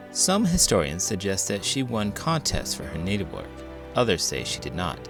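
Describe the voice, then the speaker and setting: Male American storybook narrator, deep register, lively intonation, music underneath. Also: creaky. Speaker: host reading a children's picture book aloud. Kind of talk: storybook read-aloud narration.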